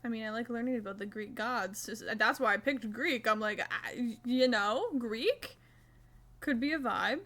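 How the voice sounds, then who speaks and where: A young woman with a fairly high voice talks to her live-stream chat while crocheting. She is loose and self-mocking, and she swears casually as she goes. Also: a little slow, a bit bright.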